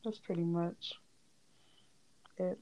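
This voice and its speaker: A woman's voice: lowish voice, steady tone, slow, lo-fi sound. A woman in her early twenties chatting casually and informally as a podcast panelist. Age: early 20s. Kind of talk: casual chat.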